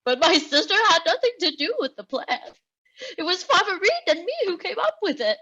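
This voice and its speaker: A woman's voice: extremely high pitch, big pitch swings, brisk, sharp tone, muffled recording, heavily acted. A woman playing a cornered cartoon villain, protesting and self-justifying in a melodramatic way. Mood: tearful pleading.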